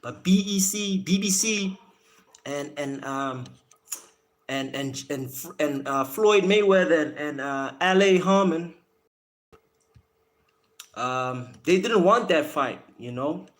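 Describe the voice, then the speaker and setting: A man's voice: on the high side, lively intonation, slow, pauses often. A man with a South African accent mixed with American, hosting a casual live stream in slangy talk and often repeating his words and phrases. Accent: South African with American influence. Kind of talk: casual boxing talk, chatting to viewers.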